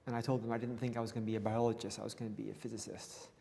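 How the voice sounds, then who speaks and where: A man speaking quickly and easily as an interview guest, telling his story plainly and without fuss. The recording carries a long reverb tail and a faint hum of ambient noise behind him. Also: fairly low pitch, level tone, gravelly, warm tone. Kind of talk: conversational interview.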